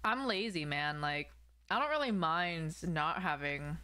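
Young woman streamer, lowish voice, casual. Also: animated, a little slow, pushed and bright, clean tone. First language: not English. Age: young adult. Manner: informal, slangy. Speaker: game live streamer. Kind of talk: casual live-stream chat, reading and answering viewers. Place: small indoor room at home. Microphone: desk microphone on an arm, close.

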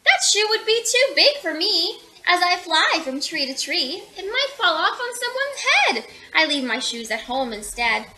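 A woman's voice: very high-pitched, sing-song, unhurried, hardly any pauses, bright, forceful tone, exaggerated voice. A woman voicing a practical, kindly storybook myna bird, matter-of-fact and polite.

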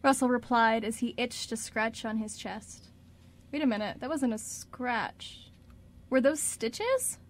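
A woman's voice: fairly high pitch, expressive, measured pace. A woman reading scripted narration for a radio drama.

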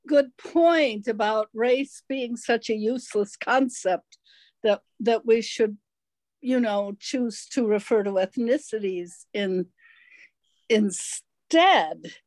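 High-voiced older woman, slow and earnest. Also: a little mellow, dry recording. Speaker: audience member asking a question. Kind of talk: casual discussion. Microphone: video call.

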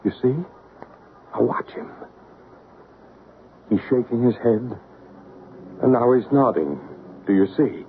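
Reassuring male ghost hunter, deliberate, earnest, vintage radio play, tinny, faint hiss. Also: mid-range voice, lively intonation. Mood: explaining. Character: calm, reassuring male psychic investigator. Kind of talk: 1970s radio drama acting. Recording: tinny, hissy 1970s radio recording.

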